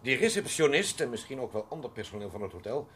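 Smug suspect in a radio play, posh polite mocking, pitch goes up and down a lot. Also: male voice, sing-song, quick pace, fairly bright tone, breathy edge. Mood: indignant. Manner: haughty, mockingly polite, formal. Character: smug, taunting man suspected of murder.

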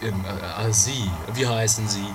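A man playing a slow-witted, officious prison official who stammers his way through an improvised comedy radio play. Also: fairly low pitch, noisy background, natural voice. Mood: suspicious, officious. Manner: halting, stammering, bureaucratic.

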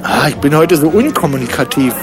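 An actor as an opinionated barroom philosopher with a markedly high male voice. He rambles, halts and repeats himself in colloquial radio-comedy dialogue, with music clearly behind him. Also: expressive, fairly quick, a crowd in the background, lightly acted. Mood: musing. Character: rambling, opinionated atheist drinker drawn to Catholicism.

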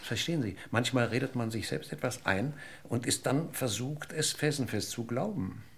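An actor playing a middle-aged police inspector in a German radio crime drama, husky and gravelly, formally polite, deliberate and persistent in his questioning. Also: mid-range voice, animated. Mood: politely insistent. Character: probing, courteous male police inspector, dryly persistent.

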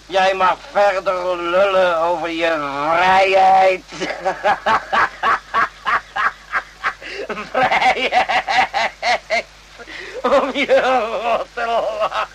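A very high-voiced military man in a radio drama, obsessed with betrayal and bitter. He speaks slowly and haltingly, circling back on his own words in agitation. The recording has a faint layer of noise. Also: fairly even intonation, heavily acted. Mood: scornful, despairing. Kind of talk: Dutch radio play acting.

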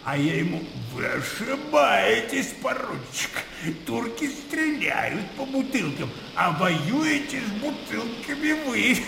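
A garrulous old military raconteur in a radio play. His high, heavily creaking voice swings widely as he blusters through battle tales. It echoes in a roomy space with noise behind it. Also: sing-song, slow, sharp tone, soft background music, full character voice. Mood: animated, jovial. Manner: blustering, exclamatory storytelling. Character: boisterous old colonel, garrulous war-story raconteur. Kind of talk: radio drama acting. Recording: roomy reverberant sound, audible background noise.

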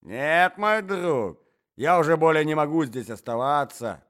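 A man's voice: fairly high pitch, expressive, unhurried, fairly bright tone, clean tone, pitched above the performer's own voice. A man voicing a frail, forgetful old prince who talks in a muddled, bewildered way.

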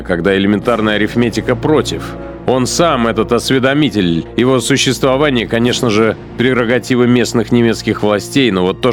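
A cold, sardonic Nazi commander played by a man thinks aloud in a radio play. His voice sits low, and he weighs his phrases with deliberate contempt. Music plays faintly behind him. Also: lightly acted. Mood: cold disdain. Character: cynical, cold-blooded male Nazi punitive unit commander, musing contemptuously. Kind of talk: dramatized inner monologue in radio play.

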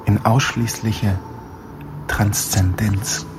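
A man's voice: on the low side, steady tone, extremely slow, noisy background. A man playing a cold, self-exalting artificial intelligence in an avant-garde radio play, declaiming in fragments. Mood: detached, grandiose.

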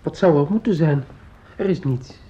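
A man's voice: fairly high pitch, lively intonation, normal speed, warm tone, natural voice. An actor playing a troubled, reserved young man, a grown son, in a radio drama. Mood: guarded. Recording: dull, noisy old radio play recording.

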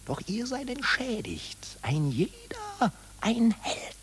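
A woman acting a mocking war profiteer in a radio play, gloating in rhyme with a breathy whisper. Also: fairly high pitch, huge pitch swings, takes it slowly, a little hiss or hum, heavily acted. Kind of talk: stylized rhymed radio drama.

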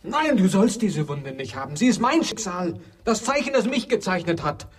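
A man's voice: high voice, very animated, normal speed, recorded from a distance. An actor playing a troubled, weary wanderer who faces his younger self, brooding and uneasy in a German radio drama manner. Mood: horrified pleading.

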